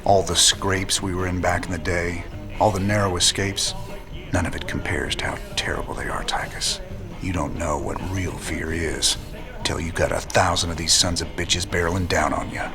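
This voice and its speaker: An actor playing a weary, gruff rebel commander in a video game. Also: male voice, deep voice, fairly even intonation, moderate pace, music in the background. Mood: weary, grim. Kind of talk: cinematic video game acting.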